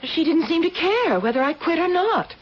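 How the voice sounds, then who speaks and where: A woman's voice: very high voice, very animated, normal speed. An actress playing a timid, honest young working woman in a radio drama, hesitant, earnest and defensive. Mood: uneasy. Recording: muffled, hissy old radio drama recording.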